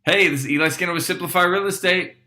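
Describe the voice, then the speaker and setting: Man playing an excited, bright-voiced American phone agent. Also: quick pace. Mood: excited, gushing. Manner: gushing, chummy, high-energy. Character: excited, eager, friendly male real estate agent on phone. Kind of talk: role-played sales phone call.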